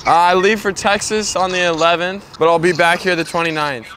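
A man's voice: high-pitched, fairly even intonation, fairly quick. A young man vlogger chatting casually outdoors in slangy, profane bro talk. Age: young adult. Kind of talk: casual chat. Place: open rooftop parking lot, tailgate of a truck. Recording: clear outdoor background noise.

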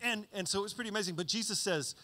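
A man's voice: high-pitched, very fast. Man preaching in a reverberant church hall. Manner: conversational, animated teaching with frequent "okay?" check-ins. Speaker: pastor. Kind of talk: sermon. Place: large church stage. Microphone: handheld mic.

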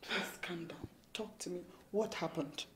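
A woman's voice: on the low side, a little slow, whispered, close to an everyday voice. An actress playing a worried, protective woman, pleading urgently in naturalistic film drama. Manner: pleading, urgent, repeats words. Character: worried, protective woman calming a volatile man.

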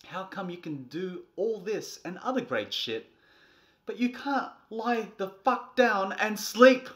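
A man in his thirties doing a comedic, theatrical storybook reading, moving between a soft bedtime tone and exasperated delivery. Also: sits high, slow pace. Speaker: presenter reading a picture book. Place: small furnished nursery room indoors.

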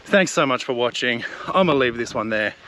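A man's voice: medium pitch, expressive, fairly quick, sharp tone, a little hiss or hum. A man in his thirties chatting upbeat to a camera held at arm's length on an outdoor mountain trail, laughing at himself. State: throat a bit rough. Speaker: hiking vlogger. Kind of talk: casual vlog to camera. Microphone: action camera at arm's length. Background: open air.

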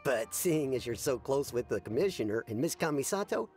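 A man's voice: mid-range voice, sing-song, fairly quick, close to an everyday voice. A man playing a courteous young guard. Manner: courteous, formal. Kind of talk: video game dialogue. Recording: faint game music behind.

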